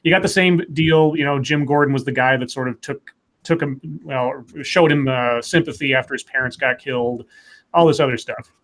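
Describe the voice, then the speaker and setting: A man co-hosting a live comics talk stream, excitedly pitching story ideas in casual, profane chat. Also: moderate pace. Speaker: podcast co-host.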